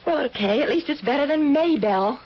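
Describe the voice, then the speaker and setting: Casting: trusting young working woman, high animated voice, gentle and eager, old radio drama. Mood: amused. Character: kind, earnest, trusting young working woman, easily persuaded. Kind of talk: 1970s radio drama acting. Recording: muffled old radio broadcast with hiss.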